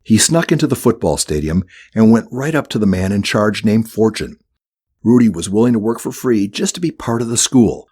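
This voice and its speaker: A man's voice: fairly low pitch, lively intonation, moderate pace, darkish tone, slightly rough. A man reading a scripted children's audiobook as the narrator.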